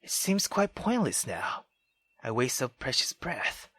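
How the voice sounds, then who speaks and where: Female let's player reading a visual novel: unhurried, dry close sound, expressive and excitable. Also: rumbling low, breathy edge, dry recording. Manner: expressive, excitable, stumbles over the text. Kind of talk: reading a visual novel aloud with live reactions.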